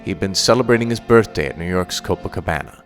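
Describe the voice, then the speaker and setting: A man's voice: sits low, lively intonation, fast, faint music behind. A man playing the grave narrator of a radio crime play.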